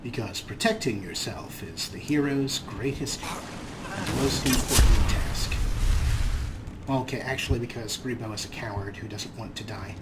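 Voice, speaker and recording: A man's voice: lowish voice, measured pace, very noisy. Wry man narrating gameplay. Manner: dry, wry humor, relaxed explaining. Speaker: let's play host. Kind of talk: casual game commentary.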